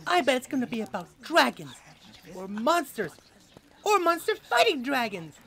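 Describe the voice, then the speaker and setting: An eager, imaginative small child in a fantasy audio drama, played by a woman. The voice is high and lively, insistent and keen in every phrase. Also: normal speed, exaggerated voice. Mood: excited. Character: eager, imaginative young child who loves dragons.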